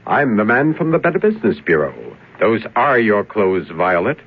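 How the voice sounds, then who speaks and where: Give me a reassuring salesman in a vintage radio ad, tinny and husky. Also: lowish voice, sing-song, normal speed. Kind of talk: old radio commercial skit. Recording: tinny old radio recording.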